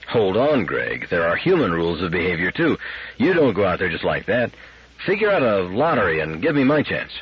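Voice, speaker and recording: A man voicing a stolid, blunt male space engineer in an audiobook, husky and flat with a sardonic edge. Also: sits low, brisk, dull audio, heavily acted. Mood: blunt, protesting. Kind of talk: audiobook character dialogue.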